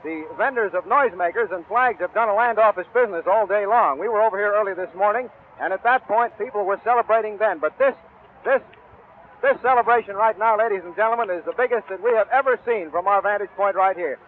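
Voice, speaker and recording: Male American live reporter, 1940s commentary, high voice, descriptive flow, dull archival audio with faint noise. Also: quick pace. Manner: descriptive, fluent, formal address to listeners. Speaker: radio reporter. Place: outdoors on a hotel balcony. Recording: muffled, faintly noisy 1940s outdoor broadcast.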